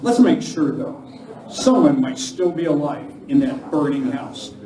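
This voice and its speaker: An actor playing an earnest, commanding masked lawman hero in a live Western radio play, speaking deliberately. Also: a little high, animated, warm tone. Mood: urgent. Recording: echoey room from far off, noisy.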